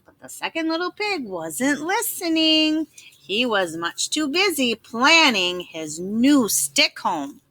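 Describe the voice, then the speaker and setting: A woman storyteller giving an expressive, dramatic read-aloud narration for children. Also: high-pitched, takes it slowly, dry recording.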